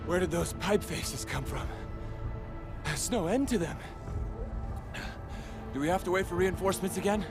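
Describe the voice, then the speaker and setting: An actor playing a fed-up, wary patrol guard in a video game, exasperated and questioning. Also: male voice, high-pitched, normal speed, pauses often, music in the background, close to an everyday voice.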